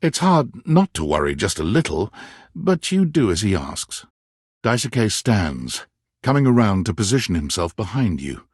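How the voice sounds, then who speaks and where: A man playing a wry, dramatic storyteller who narrates a romance video game in measured second person. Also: on the low side, sing-song, breathy edge, no echo, studio quality.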